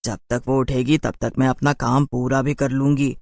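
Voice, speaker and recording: A man voicing a sly, boastful city witch in a cartoon horror story, in a slow drawl. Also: medium pitch, flat delivery, vocal fry, darkish tone, dry recording, studio quality, natural voice. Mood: scheming.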